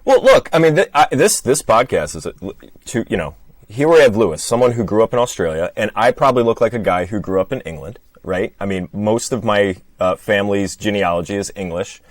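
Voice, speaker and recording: A man's voice: fairly low pitch, animated, brisk, dry recording. A man from Michigan with a Midwestern accent, chatting as a podcast guest over a video call, rambling and playful. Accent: Midwestern American. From Michigan, USA. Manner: rambling, self-deprecating, playful. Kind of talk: casual chat.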